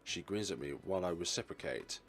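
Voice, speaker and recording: A man's voice: low voice, lively intonation, quick pace, faint music behind. A man reading a visual novel's narration aloud as a let's play narrator.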